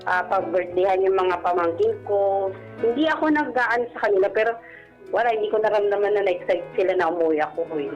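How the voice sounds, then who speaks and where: A woman's voice: mid-range voice, brisk, a little mellow, dull audio. Filipino woman caller over background music. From Philippines. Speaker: radio show caller. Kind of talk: candid personal storytelling in casual chat.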